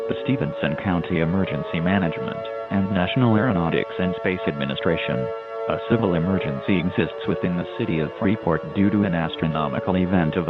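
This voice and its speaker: A man playing a grave, official emergency alert announcer, reading a formal, impersonal message in a muffled broadcast recording. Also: deep voice.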